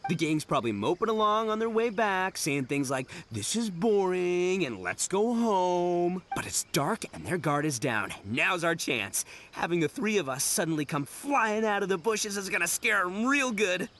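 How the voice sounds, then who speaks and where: A man's voice: high-pitched, very animated, moderate pace, hardly any pauses, fairly bright tone, slight background noise. An actor playing a boastful, boisterous young male gang leader, brash and theatrical, in an English video game dub. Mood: scheming, excited. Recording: music behind the voice.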